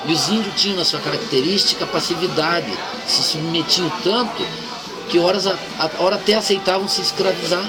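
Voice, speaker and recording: Man over very loud music. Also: high-pitched, fairly quick. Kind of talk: casual political discussion. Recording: very loud music and vehicle noise.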